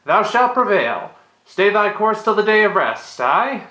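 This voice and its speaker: A man's voice: high voice, sing-song, unhurried, bright, forceful tone, roomy sound, pitched above the performer's own voice, full character voice. A man playing a nervous medieval tavern steward, speaking in mock-Shakespearean monologue with hesitant ums and theatrical, falsely reassuring archaic diction. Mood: earnest reassurance.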